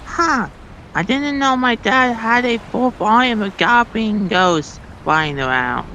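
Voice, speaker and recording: A woman's voice: on the high side, lively intonation, takes it slowly, bright, forceful tone, exaggerated voice. A woman voicing a curious, earnest young female pony in a cartoon comic read-along. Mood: amazed, curious. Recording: dull lo-fi mic, faint noise.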